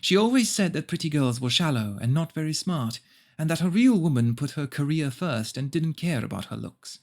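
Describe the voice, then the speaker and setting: A man's voice: a little high, expressive, normal speed. A man voicing a prim, pious, self-satisfied mother who narrates her story in a preachy, cloying way. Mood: earnest, preachy.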